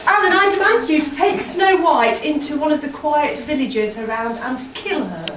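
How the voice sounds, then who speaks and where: A woman's voice: sits high, moderate pace, lightly acted. A woman playing a vain, domineering wicked Queen in an amateur pantomime, imperious and melodramatic. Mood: cold, commanding. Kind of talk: amateur pantomime stage acting. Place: indoor amateur stage performance. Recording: echoey hall from far off, noisy.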